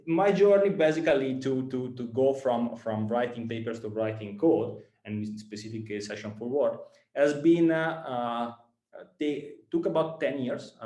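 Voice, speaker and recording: A man from Italy with an Italian accent, a startup founder giving a talk to students, speaking haltingly with many fillers and repeated words. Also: mid-range voice, warm tone, echoey room.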